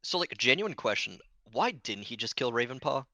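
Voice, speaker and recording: A man's voice: mid-range voice, sing-song, a little fast, sharp tone, no room sound. A man in a casual book club discussion, questioning and arguing his points. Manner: argumentative, questioning. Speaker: book club podcast participant.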